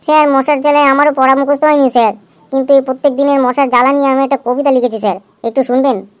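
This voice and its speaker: A woman's voice: high-pitched, fairly even intonation, rapid-fire, lightly acted. A woman voicing a cheeky, excuse-making schoolboy in an amateur cartoon dub, long-winded and pleading.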